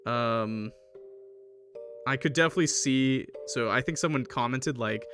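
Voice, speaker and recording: A man chatting casually on a livestream as a gamer, halting and hesitant, with a self-deprecating manner. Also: mid-range voice, fairly bright tone, faint music behind. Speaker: streamer playing and reviewing a game. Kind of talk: casual livestream chat, reacting to a game and viewer comments.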